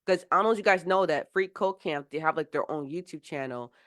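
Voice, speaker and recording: A woman with a slightly low voice who is getting over a cold, mentoring viewers in a live session. She explains things casually and rambles, with lots of fillers and restarts. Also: fairly quick, fairly bright tone. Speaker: software engineering mentor.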